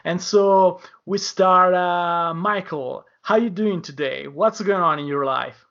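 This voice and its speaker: A man hosting a podcast interview, speaking in quick bursts with many fillers and grammar. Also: high voice, vocal fry, fairly bright tone, lo-fi sound. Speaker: podcast host.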